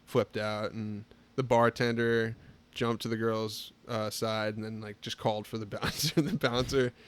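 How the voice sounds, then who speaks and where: A man's voice: fairly low pitch, measured pace, clean tone. Young man telling laid-back stories. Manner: relaxed storytelling, lots of filler "like". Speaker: podcast guest. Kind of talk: casual chat.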